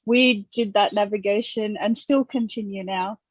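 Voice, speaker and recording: A woman's voice: medium pitch, steady tone, moderate pace. A woman with an Australian accent, hosting a casual interview in a conversational, relatable way. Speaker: interviewer, host. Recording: roomy, dull lo-fi sound.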